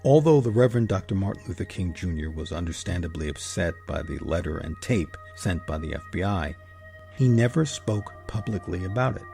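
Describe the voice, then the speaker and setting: A man narrating a scripted history documentary as host, in a measured, emphatic manner. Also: sits low, expressive, gravelly, dark tone, faint music behind.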